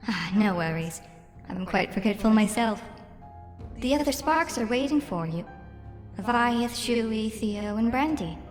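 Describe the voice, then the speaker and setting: A woman playing a mysterious, welcoming fantasy storyteller, speaking gently and theatrically in a scripted trailer monologue. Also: fairly high pitch, lively intonation, measured pace. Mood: mysterious, inviting. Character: mysterious, welcoming female storyteller awaiting a young adventurer. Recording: long hall reverb, music underneath. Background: music.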